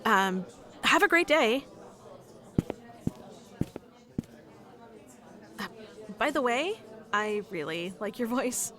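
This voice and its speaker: A woman's voice: a little high, very animated, unhurried, bright, forceful tone, heavily acted. A woman playing a shy, nerdy young woman in an ASMR roleplay, talking to the listener in a hesitant, self-conscious, rambling way with small stammers. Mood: flustered, grateful. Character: shy, nerdy young woman, awkward stranger in coffee shop. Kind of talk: ASMR roleplay, one-sided conversation with listener.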